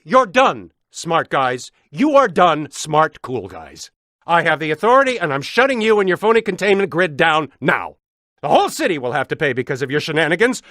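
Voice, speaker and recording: Game role: vindictive, pompous bureaucrat. High, animated voice, outraged and blustering. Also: male voice, moderate pace, no room sound, studio quality. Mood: furious, exasperated. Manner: indignant, blustering, emphatic. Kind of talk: video game voice acting.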